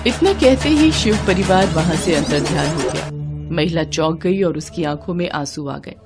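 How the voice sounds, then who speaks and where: A woman's voice: deep voice, lively intonation, moderate pace, over loud music. A woman narrator reading a scripted devotional moral story.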